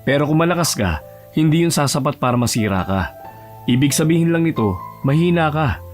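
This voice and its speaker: A man with a Filipino accent playing an aloof, blunt young bachelor with a calm, serious manner in an audio romance drama. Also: fairly high pitch, a little fast, faint music behind, lightly acted. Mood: blunt, firm.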